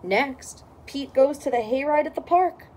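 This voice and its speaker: Woman, higher-pitched voice, expressive storybook narration, read-aloud for children. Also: unhurried. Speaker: storybook reader.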